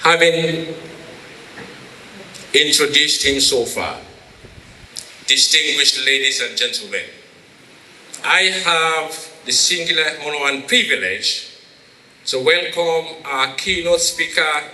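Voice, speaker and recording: Sierra leonean guy emceeing, really high forceful voice, pompous big words, roomy echo and a bit of noise. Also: slow pace, pauses often. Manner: grandiloquent, emphatic, declamatory. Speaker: master of ceremonies introducing the keynote speaker. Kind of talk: formal ceremonial introduction speech. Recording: roomy echoing PA sound, faint noise.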